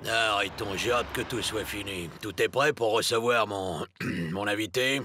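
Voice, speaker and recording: A man voicing a scheming, impatient crime boss in an animated series, imperious, grumbling and contemptuous. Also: fairly low pitch, normal speed, background noise, lightly acted. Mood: gloating. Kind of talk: French animated series voice acting.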